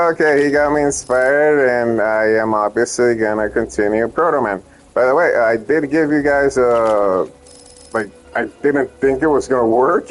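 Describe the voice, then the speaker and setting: Slow, rambling man from Puerto Rico. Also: medium pitch, low-quality recording. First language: Spanish. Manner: rambling, self-interrupting, joking. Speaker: ROM hack creator giving updates. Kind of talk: casual rambling vlog update.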